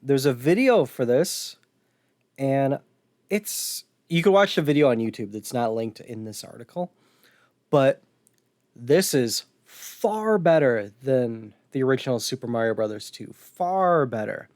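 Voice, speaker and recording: A male podcast co-host with a mid-pitched voice, chatting informally. The sound is dry, with no room reverb around him. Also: animated, a little slow, darkish tone. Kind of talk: casual retro gaming chat.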